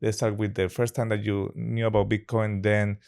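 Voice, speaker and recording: A man's voice: lowish voice, level tone, normal speed, gravelly, darkish tone, smooth. Upbeat man hosting a casual interview. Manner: upbeat, loosely phrased English with dropped words. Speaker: podcast host.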